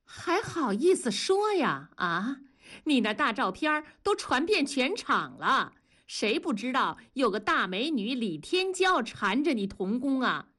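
A woman voicing a jealous, sharp-tongued wife in an audiobook, sneering and needling with scornful questions. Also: high-pitched, big pitch swings, moderate pace, hardly any pauses, pitched above the performer's own voice. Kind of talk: audiobook character dialogue.

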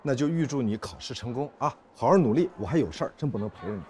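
An actor playing a harried court officer in a screen drama, placating and evasive, with dry exasperation and repeated words. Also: male voice, mid-range voice, lively intonation, fast talker, darkish tone, close to an everyday voice. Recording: noticeable background noise and ambience.

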